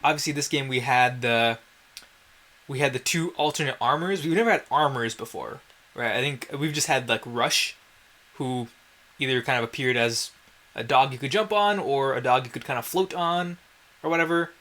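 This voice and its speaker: A man's voice: mid-range voice, moderate pace, bright, forceful tone. A man giving casual gameplay commentary in a wry, self-deprecating way, with some mild swearing. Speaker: let's play host.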